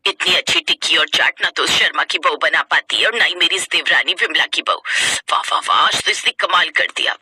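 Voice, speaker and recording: A woman's voice: deep voice, lively intonation, fairly quick, bright, forceful tone, husky, no room sound, voice pushed down, exaggerated voice. A woman voicing a skeptical, exclamatory older mother-in-law in a Hindi cartoon family story, with a grumbling colloquial manner. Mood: amazed, pleased. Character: stern, skeptical older mother-in-law who softens into pride.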